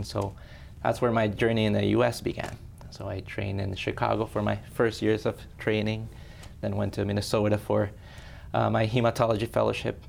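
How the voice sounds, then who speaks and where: A low, deeply gravelly male voice, a man from Ecuador who works as a hematologist. He explains blood disorders patiently and in a friendly way, leaning on examples. Faint noise sits behind him. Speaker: hematologist guest. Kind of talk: explanatory interview answers.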